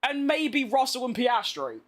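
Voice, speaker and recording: A man's voice: very high-pitched, big pitch swings, a little slow, fairly bright tone. A tired British man streaming a racing game, chatting casually and rambling in a self-deprecating way. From Britain. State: tired from being awake all day. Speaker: gaming streamer. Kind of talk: casual live-stream gameplay chat.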